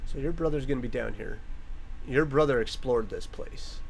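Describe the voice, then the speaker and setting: A man's voice: mid-range voice, moderate pace, gravelly, slight background noise, poor audio. A man hosting a reaction video indoors, giving casual commentary and exclaiming and musing aloud as he watches. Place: room indoors. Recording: music behind the voice. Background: music from the watched video.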